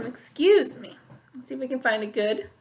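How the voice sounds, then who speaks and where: A woman in her thirties teaching a music lesson to young children from home, speaking slowly and clearly and singing phrases for them to repeat, recorded on a laptop webcam. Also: sits high, very animated. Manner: slow, clear teacher talk, sings call-and-repeat phrases. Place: dining room at home, indoors. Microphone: webcam or laptop at arm's length.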